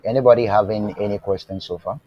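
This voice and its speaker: A man's voice: fairly low pitch, fairly even intonation, highs cut off. A man teaching web development live online, who often asks the class "what?" and checks whether they are following. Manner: asks rhetorical "what?" questions and lets the class fill in the answer; slow and deliberate, with fillers and restarts. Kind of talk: live online coding lesson. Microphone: remote class, voice faint at times.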